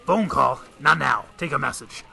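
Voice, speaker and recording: An actor playing a brusque, hard-boiled vintage police detective in an old-time radio drama, gruff and clipped with grandiloquent turns. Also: male voice, mid-range voice, normal speed, bright, forceful tone, heavily acted. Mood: brisk.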